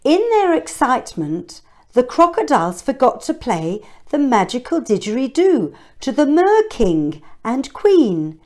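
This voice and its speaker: Female children's storybook reader, highish voice, very lively intonation, speaks directly to little listeners. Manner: expressive, chatty asides to children. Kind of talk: read-aloud storytelling with questions to young listeners.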